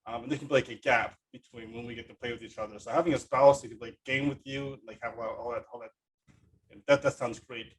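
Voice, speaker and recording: Guy hosting a podcast, medium pitch, chatty and keen, rambles and repeats himself. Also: fairly even intonation, quick pace. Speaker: podcast host. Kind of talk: casual interview chat.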